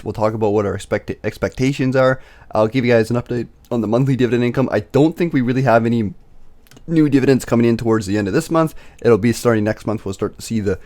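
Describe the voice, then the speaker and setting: A young man hosting a casual investing video, talking through his picks with lots of fillers and self-corrections, his voice slightly raspy as he gets over being sick. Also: medium pitch, warm tone. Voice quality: slightly raspy, recovering from illness. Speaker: dividend investing channel host. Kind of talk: casual screen-share commentary.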